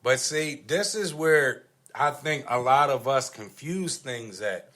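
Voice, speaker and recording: A man's voice: medium pitch, lively intonation, slow, a bit bright. A man arguing his points insistently in a casual sports debate, often repeating himself as a podcast host.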